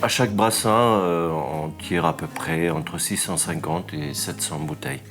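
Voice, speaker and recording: A man from Bavaria, a brewer speaking in an interview in a slow, halting way with many pauses. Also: low-pitched. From Bavaria, Germany. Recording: music bed under the voice.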